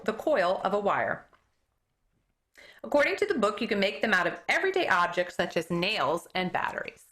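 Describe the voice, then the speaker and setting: Bright, lively woman reading a book aloud. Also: medium pitch. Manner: expressive read-aloud, occasional stumbles and self-corrections. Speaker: elementary school teacher reading aloud.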